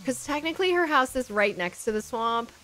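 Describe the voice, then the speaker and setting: High-voiced young woman streaming a game. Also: lively intonation, a little fast. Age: young adult. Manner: gushing, chatty, easily delighted. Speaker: streamer hosting a game island tour. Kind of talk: casual livestream gameplay commentary. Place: indoor streaming setup. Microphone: close microphone on boom arm. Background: soft game music.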